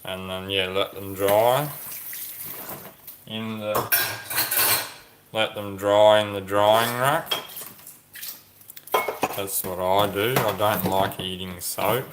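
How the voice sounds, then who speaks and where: A man's voice: on the low side, steady tone, noisy background. A man hosting a casual livestream, reading viewer comments aloud and musing over them. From Australia. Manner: slow, musing, reads comments aloud and ponders. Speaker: livestream host reading chat.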